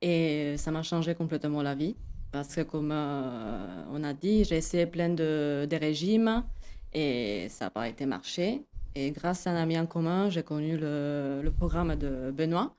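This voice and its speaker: A woman with an Italian accent, a guest on a radio interview, speaking in simple, halting phrases. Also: deep voice, dark tone. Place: radio studio.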